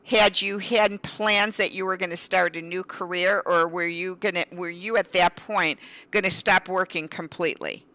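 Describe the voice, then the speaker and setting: Woman host, bright and clear tone, conversational questioning that keeps rephrasing itself. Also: fairly low pitch, fairly even intonation, moderate pace, rough recording. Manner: asks questions, rephrases and restarts often. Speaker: interview host. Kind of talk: casual interview.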